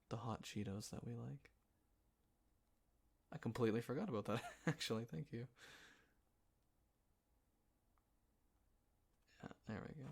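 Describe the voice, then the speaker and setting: A man acting an easygoing, playful young guy in a casual roleplay audio, talking in a halting, chuckling, naturalistic way with a friend. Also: medium pitch, a little mellow, breathy edge. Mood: relaxed, mildly resigned. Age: young adult.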